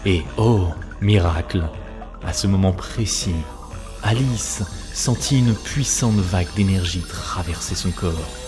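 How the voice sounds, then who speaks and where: A man's voice: low-pitched, slow. A man narrating a scripted story for a cartoon, as a storyteller. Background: music.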